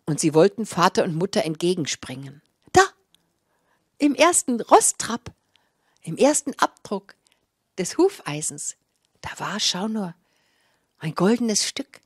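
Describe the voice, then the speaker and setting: A woman's voice: mid-range voice, no echo, studio quality. A woman in her sixties telling stories live to a small audience, with a dramatic, savouring delivery, speaking into a handheld microphone. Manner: dramatic, savouring delivery with repetitions and drawn-out words. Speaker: fairy-tale storyteller. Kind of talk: live oral storytelling for an audience. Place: small indoor room with curtained stage and small audience. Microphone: handheld microphone held close.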